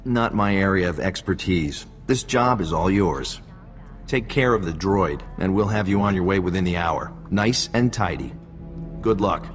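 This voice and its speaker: A wry intelligence agent in a video game. His voice is deep and his manner casual and unhurried. It carries long reverb, with music behind it. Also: expressive. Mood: wry, relaxed. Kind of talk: video game cutscene dialogue.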